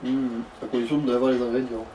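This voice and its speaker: A man's voice: medium pitch, flat delivery, fairly quick, mellow. Distant man, dry gaming sidekick. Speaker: let's play co-commentator. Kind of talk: casual gaming chat. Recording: distant mic, faint background noise.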